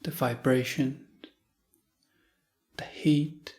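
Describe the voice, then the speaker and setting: A man leading a guided meditation in short, calm phrases with long pauses. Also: medium pitch. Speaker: meditation teacher.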